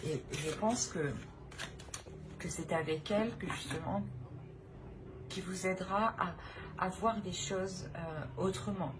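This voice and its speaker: A woman giving an informal spiritual tarot reading, halting and repeating herself as she searches for words. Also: lowish voice. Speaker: tarot reader hosting a channel. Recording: clearly noisy recording with ambient sound.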